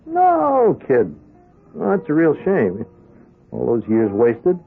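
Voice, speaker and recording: A band-limited radio drama recording with music underneath. a young American hustler in his twenties, eager and wheedling, with very lively pitch. He talks in slang, stammers a little and keeps nudging with little questioning tags. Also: slow pace, narrow, tinny audio, full character voice. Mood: chummy. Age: young adult. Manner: slangy, hesitant, nudging, tags phrases with "huh". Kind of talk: old-time radio drama acting.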